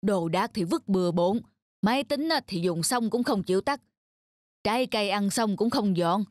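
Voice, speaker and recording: A woman's voice: mid-range voice, animated, dry recording, lightly acted. A woman playing a nagging cartoon mom. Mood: scolding. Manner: scolding, sarcastic, brisk. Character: nagging middle-aged mother, sharp-tongued. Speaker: mother in a family cartoon. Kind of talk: cartoon dub acting. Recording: studio voice-over with background music.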